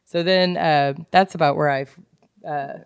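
A woman's voice: deep voice, fairly even intonation, measured pace, gravelly, clean tone, dry recording, clean studio sound. A woman co-hosting a book club podcast, casually retelling a plot in a jokey, salty-tongued way. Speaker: podcast co-host.

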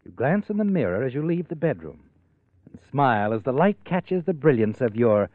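A man's voice: medium pitch, very animated, moderate pace, no echo, close to an everyday voice. A man playing a sinister, all-knowing suspense narrator who taunts and insinuates in second person in an old radio drama. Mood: ominous, knowing. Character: sinister, knowing male narrator addressing the heroine. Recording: old narrow-band radio recording. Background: dramatic music with brass.

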